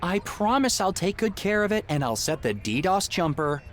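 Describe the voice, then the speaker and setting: An actor playing an easygoing, curious young tinkerer, the wry and casual hero of an adventure video game. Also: male voice, high-pitched, big pitch swings, moderate pace, a bit bright, slight background noise. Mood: casual, sheepish. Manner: wry, casual, musing aloud. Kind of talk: video game character dialogue.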